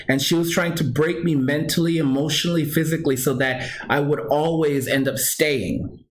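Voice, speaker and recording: A man with a somewhat high voice tells a personal story directly to his viewers. He is earnest and emphatic, circling back to drive points home, with many restarts and self-corrections. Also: moderate pace. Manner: earnest, emphatic, repeats himself, talks straight to viewers. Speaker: vlogger.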